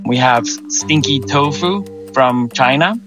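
A man from Sweden, a museum co-founder, talking in an interview with many fillers and restarts and lively emphasis. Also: medium pitch, slow, music in the background.

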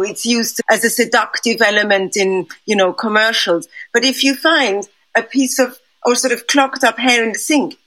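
A woman's voice: fairly high pitch, fairly bright tone, low-quality recording. Hesitant raised woman sculptor. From Switzerland. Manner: hesitant, many restarts and fillers, thoughtful. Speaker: sculptor, interview guest. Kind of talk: conversational interview answers.

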